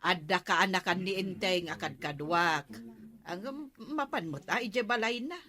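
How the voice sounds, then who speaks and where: Ilocano village captain's wife, hesitant. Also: female voice, lowish voice, lively intonation, measured pace, bright, forceful tone. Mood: mildly complaining. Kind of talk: Ilocano radio comedy drama acting.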